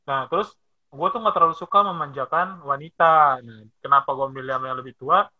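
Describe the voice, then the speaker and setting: A young man in his twenties with a somewhat high voice tells stories in a relaxed chat and slips into quoted voices. The sound is dull and lo-fi. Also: a little fast. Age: 20s. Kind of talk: casual chat.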